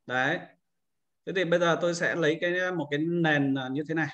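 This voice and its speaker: A man's voice: fairly high pitch, fairly even intonation, fast talker, fairly bright tone, muffled recording. A man narrating an informal step-by-step screen-share tutorial as an instructor, explaining and often adding short confirming tags.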